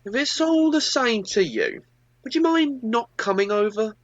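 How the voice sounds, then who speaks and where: A woman's voice: on the high side, very animated, a little slow. A woman playing a disdainful, sarcastic stranded passenger in an amateur radio drama. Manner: dry sarcasm. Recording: dull, dry lo-fi home recording.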